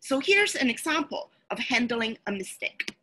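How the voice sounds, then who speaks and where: A woman's voice: fairly high pitch, normal speed, slight background noise, rough recording. A woman lawyer whose first language is Cantonese, giving an instructive webinar talk over a video call from a small room. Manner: explanatory, steady, instructive. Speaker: lawyer and presenter. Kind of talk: webinar lecture. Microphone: video call webcam.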